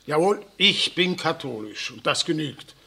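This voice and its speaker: Old grandfather, stubborn, raspy and creaky, forceful, 1960s radio play. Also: on the high side, animated, measured pace, full character voice. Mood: indignant, stubborn. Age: older. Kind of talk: 1960s radio drama acting.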